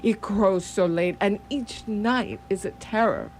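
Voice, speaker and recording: A woman's voice: medium pitch, takes it slowly, darkish tone, poor audio. A woman playing a grave, resolute vampire hunter in a radio play, speaking formally and with solemn measure. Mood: grave, urgent. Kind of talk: radio theatre acting. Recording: radio play with background hum.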